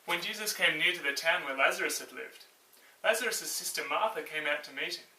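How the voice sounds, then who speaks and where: A man hosting and telling a Bible story in a theatrical, expressive way, acting out the dialogue. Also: fairly high pitch, brisk, noisy background. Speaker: storyteller and show host. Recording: roomy echo, distant mic.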